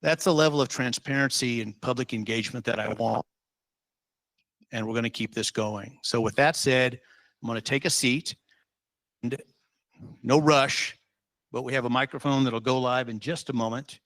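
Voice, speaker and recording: A man's voice: medium pitch, moderate pace, pauses often, fairly bright tone, no echo. A man from Utah, a state official leading a public meeting, talking informally with many ums and rambling asides.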